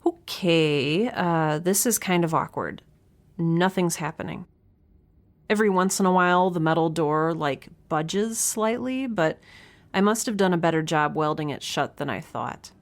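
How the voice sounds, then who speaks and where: A woman's voice: lowish voice, animated, a little slow. An actress playing a young researcher alone on a spaceship, chatting into her log in a wry, rambling, nervous and self-deprecating way. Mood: anxious, wry. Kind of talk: audio drama, spoken log in a casual chatty manner.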